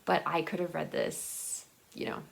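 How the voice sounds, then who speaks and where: A woman's voice: low-pitched, little pitch movement, unhurried, gravelly, a little hiss or hum. A woman chatting casually and enthusiastically to viewers in a rambling, conversational book vlog. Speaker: book vlogger.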